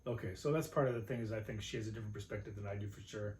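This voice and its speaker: A man's voice: lowish voice, steady tone, very fast, darkish tone. Easygoing man in an echoey room. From Los Angeles area, United States. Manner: easygoing, laughs often, trails off. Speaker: podcast co-host. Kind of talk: casual chat. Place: home room. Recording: roomy home recording with faint noise.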